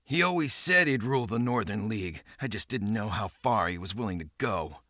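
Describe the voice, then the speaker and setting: A swaggering pirate captain in a game cutscene. His voice is low and hard-edged, with a very lively melody. He sounds cocky, brash and bent on revenge. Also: fairly quick. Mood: reflective. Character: cocky, vengeful young male pirate captain. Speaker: video game protagonist and narrator. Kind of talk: dramatic game cutscene acting and narration.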